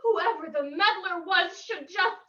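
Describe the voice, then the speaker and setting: A woman's voice: extremely high pitch, measured pace, fairly bright tone, dull audio. A woman playing an easily alarmed small-town woman in a dramatic, earnest radio drama reading. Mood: alarmed, wary.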